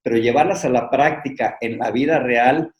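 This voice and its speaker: A man hosting an interview, introducing the topic in a measured way and listing points with pauses, speaking over a video call. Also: mid-range voice. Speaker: host. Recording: echoey room.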